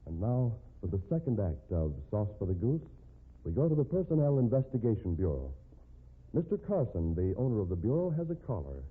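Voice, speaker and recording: A man narrating a 1950s radio show as announcer, in a theatrical, deliberate scripted style. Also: on the low side, very animated. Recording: tinny band-limited 1950s radio recording.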